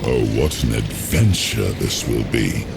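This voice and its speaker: Super deep grave legendary man from a fantasy game, slow solemn theatrical delivery, loud rain noise behind. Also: animated, gravelly, heavily acted. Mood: solemn musing. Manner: measured, theatrical, archaic phrasing. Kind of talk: dramatic game voice acting.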